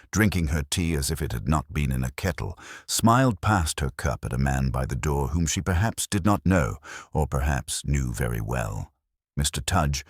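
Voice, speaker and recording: A man narrating a wry mystery story in a literary, measured, dryly ironic manner. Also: extremely low pitch, husky, no room sound, studio quality.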